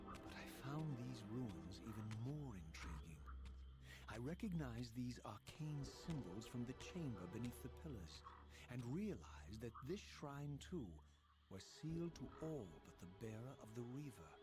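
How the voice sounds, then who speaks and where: Brooding, eloquent undead wraith, low-pitched. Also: male voice. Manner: solemn, formal, theatrical diction. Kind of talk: dramatic scripted video game narration. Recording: loud music behind the voice. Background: loud music.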